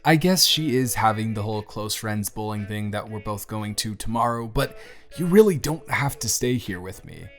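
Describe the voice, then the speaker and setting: A man playing a bruised, self-deprecating teenage boy confiding in his best friend in an intimate audio roleplay, talking in a hesitant, gently wry, conversational way. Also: lowish voice, animated, moderate pace, close to an everyday voice. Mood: apologetic, reassuring. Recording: music behind the voice. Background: soft background music.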